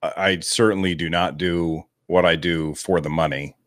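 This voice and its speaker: A man with a deep radio voice, rambling and self-deprecating as a guest on a podcast interview. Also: steady tone, normal speed, a bit bright, no room sound, studio quality. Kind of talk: relaxed interview talk.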